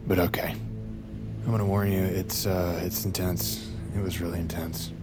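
An actor playing a jittery, wry American assassin on the run, narrating an audio drama in a halting, self-interrupting way. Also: male voice, deep voice, flat delivery, music in the background, close to an everyday voice. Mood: sheepish, wry. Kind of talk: audio drama acting and first-person narration.

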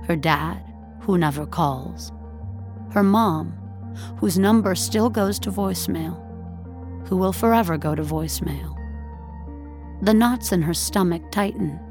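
A woman narrating a dramatic audio story in a measured, deliberate, literary way. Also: fairly low pitch, a little mellow. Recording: studio narration over faint music.

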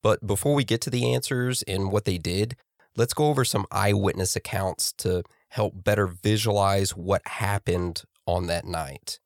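A man hosting a casual podcast, congested from a cold, chatty and quick to laugh. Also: low-pitched, vocal fry, no room sound, clean studio sound. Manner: laughing, chatty, talks over his co-host. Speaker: podcast host.

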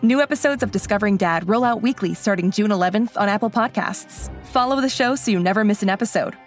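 A woman's voice: medium pitch, fast talker, soft background music. A woman announcer delivering a polished, scripted podcast advert. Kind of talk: scripted promotional read.

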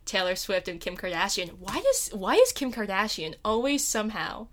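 A woman's voice: medium pitch, big pitch swings, moderate pace, a bit bright. A young woman co-hosting a podcast in casual chat, with a playful, gushing and emphatic way of talking. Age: young adult. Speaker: podcast co-host.

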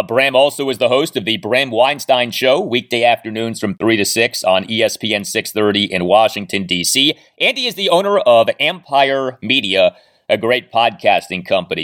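Guy hosting a sports podcast, super lively up and down pitch, emphatic announcer type delivery. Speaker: podcast host.

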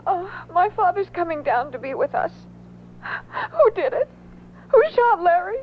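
A woman acting a shocked, bereaved woman, crying and halting as she speaks, in an old-time radio drama. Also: very high-pitched, big pitch swings, full character voice. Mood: tearful, shocked. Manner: halting, faltering. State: tearful, crying. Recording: muffled radio recording with faint hum.

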